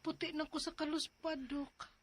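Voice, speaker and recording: Woman telling her own story as a drama, high voice, kinda plaintive. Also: level tone, moderate pace. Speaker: storyteller narrating as the letter-sender. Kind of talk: dramatized first-person narration.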